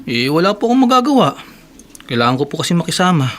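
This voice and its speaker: A man's voice: fairly high pitch, big pitch swings, quick pace. Earnest young male employee and dutiful son, respectful delivery for radio drama. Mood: weary, earnest. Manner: polite, earnest. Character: earnest, deferential young male office worker and devoted son. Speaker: actor. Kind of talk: Tagalog radio drama acting.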